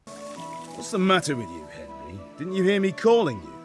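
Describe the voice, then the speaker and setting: A man's voice: on the high side, wildly swooping pitch, moderate pace, over music. An actor playing a middle-aged blacksmith father in a medieval game, blunt and commanding. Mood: firm, businesslike. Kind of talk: dramatic video game dialogue.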